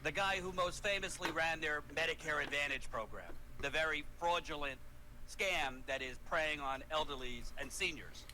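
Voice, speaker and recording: A man's voice: a little high, normal speed, background noise. A man delivering an impassioned political rant, emphatic and repeating key words, in a played clip. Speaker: political commentator.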